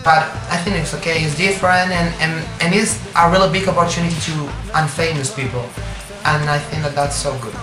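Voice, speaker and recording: A man's voice: a little high, steady tone. Young Spanish singer, man, accented English, gropes for words; echoey room, music in the background. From Spain. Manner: halting, searching for words in English, frequent restarts. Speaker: singer, interview guest. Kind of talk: casual interview answers.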